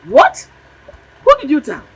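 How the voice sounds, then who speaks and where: A woman's voice: deep voice, lively intonation, moderate pace, low-quality recording. An actress playing a middle-aged, domineering mother in a melodramatic film, speaking in an emphatic, declamatory, repetitive way. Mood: furious, threatening. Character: domineering mother and stepmother, fierce then ingratiatingly apologetic. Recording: clear background noise.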